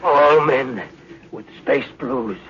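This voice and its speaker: Dying, gasping ship's doctor. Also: male voice, medium pitch, extremely slow, fairly bright tone. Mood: fading, urgent. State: weak, breathless, fading. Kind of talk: 1950s radio drama acting. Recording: muffled, noisy old radio recording.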